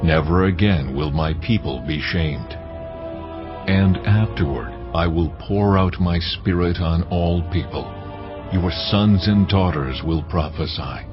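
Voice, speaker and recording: A very low, solemn voice of God, declaims scripture with measured authority. The audio is slightly dull, with music clearly playing underneath. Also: male voice. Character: God, solemn authoritative male deity addressing his people. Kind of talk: dramatized scripture reading. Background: clear background music.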